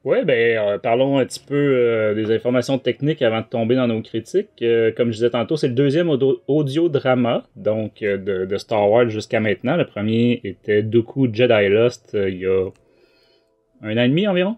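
Quebecois man hosting, halting delivery. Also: medium pitch, dull audio. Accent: Quebec French. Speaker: podcast host. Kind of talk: casual book review talk.

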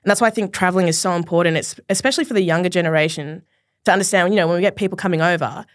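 A woman's voice: on the low side, racing, no room sound, clean studio sound. A young woman with an Australian accent, a podcast guest chatting casually, laughing often and swearing freely. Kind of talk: casual chat. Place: small podcast studio. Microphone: studio mic on boom arm.

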